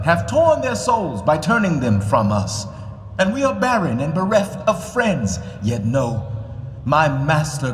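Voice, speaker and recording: Embattled righteous monarch, radio verse drama. Highish, widely varied voice, formal declamation, echoey space. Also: male voice. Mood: righteous threat. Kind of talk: Shakespearean radio drama acting. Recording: echoey hall reverb, faint noise.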